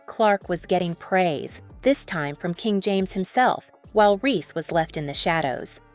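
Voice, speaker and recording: A woman's voice: fairly low pitch, expressive, unhurried, faint music behind. A woman delivering a dramatic, scripted sports news narration.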